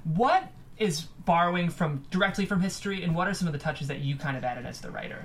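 A woman with a low-pitched voice hosts a script reading. She narrates and interviews, with clear noise in the background. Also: expressive, fast. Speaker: host and stage-direction narrator.

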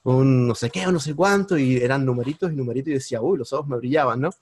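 A man with a Cuban accent, a podcast guest telling his story in lively casual conversation and bursting into high exclamations at the big moments. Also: fast, slightly rough. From Cuba. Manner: animated, jumps into high-pitched exclamations when retelling moments.

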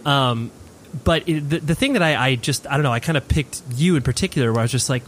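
A man's voice: a little high, quick pace, fairly bright tone, slight background noise. A man in his thirties hosting a podcast, chatting casually in an informal, rambling, slangy way. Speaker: podcast host.

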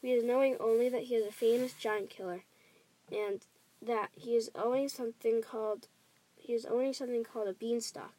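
Woman reading as a gentle, cautious storybook giant. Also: a little high, fairly even intonation, brisk, lightly acted, rough recording. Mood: confiding. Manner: hushed explaining with quaint muddled grammar. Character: gentle male giant, cautious, kindly, quaintly muddled in speech.

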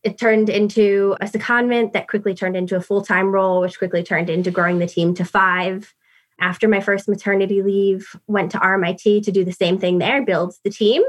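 A woman from New York tells her career story with a clear New York accent. She talks in a casual, chatty way, leaning on fillers as she goes. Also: mid-range voice, steady tone, brisk. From New York City. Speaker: guest. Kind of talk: casual interview talk.

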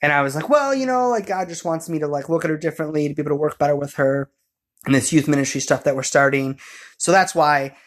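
Man casually telling a halting personal story. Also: on the high side. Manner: rambling, halting, self-correcting.

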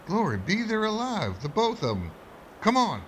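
A man's voice: high voice, huge pitch swings, normal speed, slight background noise. Man playing a dramatic, wide-swinging old-time radio doctor. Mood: alarmed. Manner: commanding, dramatic. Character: stern, urgent small-town doctor leading a night search.

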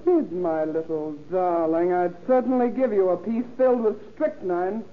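An actress playing a tough, sarcastic crook's accomplice in a 1930s radio drama, defiant and slangy, with an American accent. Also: female voice, lowish voice, moderate pace, soft background music, close to an everyday voice. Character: tough, sarcastic woman accomplice stonewalling police. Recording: muffled, faintly noisy 1930s radio recording.

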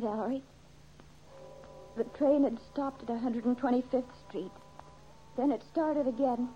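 Actress as plucky young secretary, high voice, flustered, wry, old radio drama, heavy hiss. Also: female voice, warm tone, lightly acted. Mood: anxious recounting. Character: frightened but plucky young secretary suspected of killing. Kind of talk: 1940s radio mystery acting. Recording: muffled, noisy old radio recording.

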